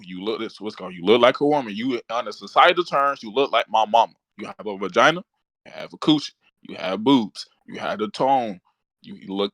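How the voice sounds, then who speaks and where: A man's voice: medium pitch, a bit bright, no echo. A man in a casual online debate, blunt and slangy, pressing his opponent with questions.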